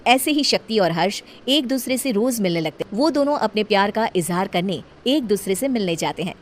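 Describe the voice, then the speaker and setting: A woman storyteller reading scripted narration for an animated tale. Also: mid-range voice, a little fast. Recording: music behind the voice.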